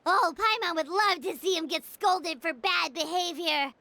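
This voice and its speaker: A woman voicing a tiny, excitable, childlike fairy companion in a video game, bubbly and chatty. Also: very high-pitched, a little slow, very noisy. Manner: bubbly, chatty, refers to self in third person. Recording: music behind the voice. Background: game background music.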